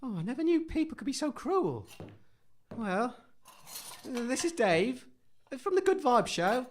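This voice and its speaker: Man voicing a dithering, high-voiced radio host. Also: big pitch swings, a little slow, a little hiss or hum, heavily acted. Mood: dejected. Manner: hesitant, dithering, stammering. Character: timid, fussy, kind-hearted male radio host in absurd comedy. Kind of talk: audio comedy acting.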